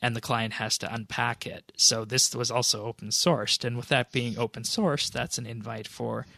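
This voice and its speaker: A man's voice: medium pitch, fairly even intonation, moderate pace, vocal fry, bright, forceful tone, no room sound. A man co-hosting a casual gaming podcast, explaining things in a matter-of-fact way. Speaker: podcast co-host. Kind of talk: casual panel discussion about online games.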